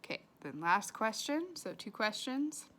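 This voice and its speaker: A woman reads a story aloud in an expressive, fairly high voice. Her pitch moves widely as she adds dramatic emphasis, and she speaks casually when addressing her listeners. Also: unhurried. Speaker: read-aloud reader.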